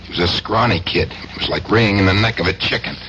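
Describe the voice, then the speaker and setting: An actor with an American accent playing a remorseless, casually polite working-class killer in a radio drama. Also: male voice, low-pitched, quick pace, bright, forceful tone. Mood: cold, boastful. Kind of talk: 1970s radio drama acting. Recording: muffled noisy vintage radio broadcast.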